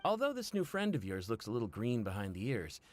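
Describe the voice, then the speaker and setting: A man's voice: on the low side, wildly swooping pitch, fast. A man voicing a cocky, joking mercenary in a game. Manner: flirty, joking.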